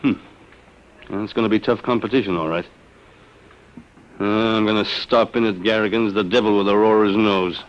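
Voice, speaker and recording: An actor playing a blustering, skeptical middle-aged businessman and family man in an old radio drama, excitable and sardonic. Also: fairly low pitch, normal speed, pauses often, slight background noise, lightly acted. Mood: defiant. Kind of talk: 1950s radio drama acting. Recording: dull old radio recording.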